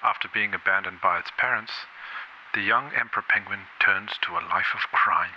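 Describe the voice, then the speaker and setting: A man's voice: on the low side, brisk, lightly acted. A man playing a solemn nature documentary narrator in a cartoon. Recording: dull, faintly noisy broadcast sound.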